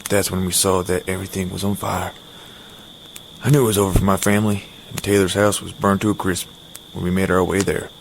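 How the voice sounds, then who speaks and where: An actor plays a disaster survivor telling a story of loss in an audio drama. His male voice is fairly low, with faint noise behind it. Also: flat delivery, brisk, gravelly, low-quality recording. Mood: grave.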